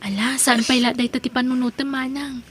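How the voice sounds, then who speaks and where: An actress plays a devoted young woman with a high voice and an Ilocano accent. She is protective and anguished, speaking with earnest emotion in a radio-style melodrama. Music runs underneath. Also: flat delivery, brisk, fairly bright tone, slightly rough. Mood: hushed, urgent.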